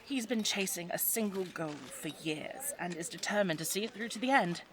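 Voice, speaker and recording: A woman's voice: mid-range voice, expressive, normal speed, a crowd in the background, close to an everyday voice. A woman playing a spirited, teasing young English lady of the 1920s in an audio drama, chatty and well-spoken with an English accent. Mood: confiding. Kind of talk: audio roleplay drama, addressed to the listener.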